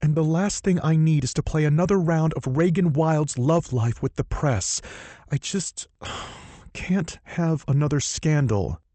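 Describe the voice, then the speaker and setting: A man's voice: a little high, mellow, no room sound, voice pushed up. A man voicing a guarded, fiery young woman in an audiobook, gasping and exasperated. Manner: gasping, exasperated, quick to flare. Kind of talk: audiobook character voice.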